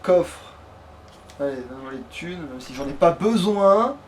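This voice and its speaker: A man's voice: a little high, animated, unhurried, distant mic. A man giving casual, grumbling, sweary commentary while playing a video game. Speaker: let's player. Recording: clear background noise, game ambience.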